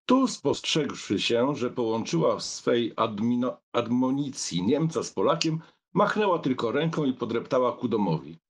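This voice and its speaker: A male audiobook narrator with a husky, gravelly voice of middle pitch. He reads literary prose expressively, in a measured storytelling manner. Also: expressive.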